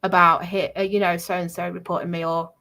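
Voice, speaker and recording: A woman's voice: lowish voice, level tone, a little fast, sharp tone. A woman in an aggrieved, emphatic livestream talk, often repeating herself to press her point.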